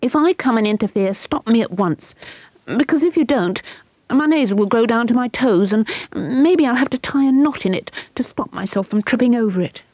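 A woman's voice: lowish voice, lively intonation, normal speed, breathy edge, dry recording, pitched above the performer's own voice. A woman voicing a scornful, meddling little girl who turns sulky and pleading. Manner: bossy and sulky.

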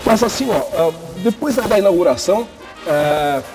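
A man's voice: sits high, lively intonation, moderate pace. A man hosting a casual cooking show in a showroom kitchen, talking in a chatty way with restarts. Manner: chatty, many restarts and fillers. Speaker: host. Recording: music under the voice. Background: background music.

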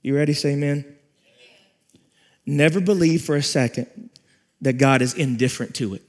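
Pastor, man in his forties, slightly high voice, emphatic sermon delivery with repeated phrases, on stage before a congregation. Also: normal speed. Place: large church stage with audience.

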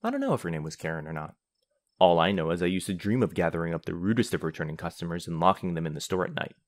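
A man's voice: sits low, very animated, rapid-fire. A man playing a grumpy, easily flustered vampire in a comedy audio roleplay, sarcastic and huffy in manner. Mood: dry, relaxed. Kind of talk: comedy ASMR roleplay acting.

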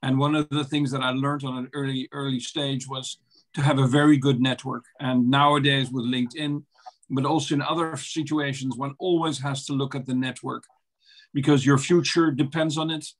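Dutch male guest, 50s, webcam audio, slow hesitant delivery with fillers. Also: mid-range voice, flat delivery. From Netherlands. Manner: measured, hesitant, many fillers. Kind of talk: video call interview. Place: office, video call. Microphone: webcam on a video call.

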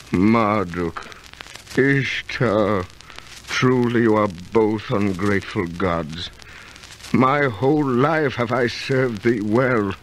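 Old radio play role: aggrieved Babylonian trader, grandly lamenting, unhurried delivery, faint background noise. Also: male voice, mid-range voice, fairly bright tone, low-quality recording. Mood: bitter defiance. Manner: lamenting, declamatory, archaic formal speech. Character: aggrieved, self-pitying ancient Babylonian merchant, turning defiant against his gods. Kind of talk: golden-age radio drama acting.

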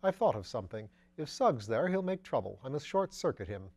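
A man voicing a whimsical upper-class English gentleman detective in an audiobook, airy, flippant and teasing, full of plummy slang. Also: medium pitch, sing-song, fairly quick. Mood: excited, brisk. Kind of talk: audiobook character dialogue.

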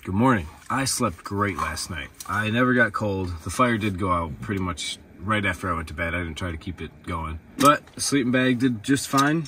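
A man's voice: fairly low pitch, expressive, normal speed, hardly any pauses, pushed and bright. An American man in his thirties chats casually to camera in the manner of an outdoor vlogger. He sounds a little way off from the microphone. Faint ambient noise from the open air sits behind his voice. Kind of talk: casual vlog talk to camera. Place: outdoors at a forest campsite, sometimes inside a tent. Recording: distant mic, noticeable outdoor ambient noise.

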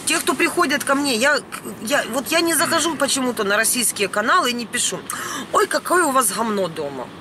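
A woman's voice: sits high, very animated, fairly quick. A woman, speaking indignantly and bluntly in an unscripted vlog rant, recorded inside a van. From Ukraine. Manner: indignant, emphatic, blunt, peppered with mild swearing. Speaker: vlogger. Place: inside a parked or moving van. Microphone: camera at about arm's length. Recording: noisy vehicle cabin.

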